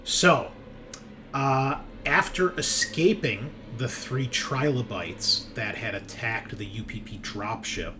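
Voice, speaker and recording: A man's voice: mid-range voice, slow, noisy background. A man hosting a tabletop game stream as game master, chatting casually in a rambling, laid-back, sweary way.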